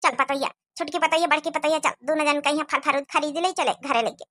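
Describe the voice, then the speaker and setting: A woman's voice: high voice, fairly even intonation, brisk, pushed and bright. A woman voicing a commanding older village mother-in-law with an Awadhi accent in a cartoon comedy. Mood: conciliatory. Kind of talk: rural cartoon comedy dialogue.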